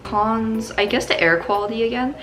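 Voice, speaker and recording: A woman's voice: on the high side, normal speed, fairly bright tone, faint music behind. A young woman from Canada answering viewer questions in a casual, upbeat vlog, full of "like" fillers. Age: young adult. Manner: upbeat, chatty, many "like" fillers.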